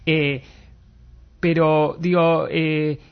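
Halting Argentine man, slightly high voice, muffled recording. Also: flat delivery, vocal fry, clean tone. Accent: Argentine Spanish. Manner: halting, searching for words, repeating key words for emphasis. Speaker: interviewee giving political analysis. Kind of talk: spoken interview, explanatory analysis. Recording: dull, lo-fi muffled sound.

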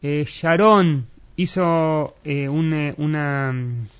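An Argentine man with a moderately high voice explains things in an interview setting. He feels his way through sentences, pausing for the right word and repeating key ones to press his point. The sound is dull and a little lo-fi. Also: fairly even intonation, smooth. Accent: Argentine Spanish. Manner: halting, searching for words, repeating key words for emphasis. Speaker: interviewee giving political analysis. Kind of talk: spoken interview, explanatory analysis.

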